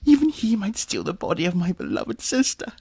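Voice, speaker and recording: A feverish, husky, half-mad aristocrat. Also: male voice, high voice, wildly swooping pitch, a little fast, full character voice. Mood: feverish, secretive. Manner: theatrical, feverish, swinging between hushed and frantic. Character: tormented, half-mad male aristocrat, last of a cursed line. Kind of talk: melodramatic radio drama acting.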